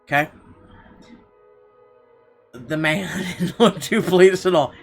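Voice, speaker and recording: A man's voice: high-pitched, slow. A man hosting a gaming video, reading game narration aloud and adding casual, irreverent, profane reactions. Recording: game music clearly behind the voice. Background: game music.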